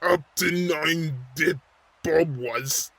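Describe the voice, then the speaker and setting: A man voicing a battered, swearing cartoon wrestler with a gravelly voice. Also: a little high, extremely slow, a bit bright, dry recording, full character voice. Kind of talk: cartoon voice acting.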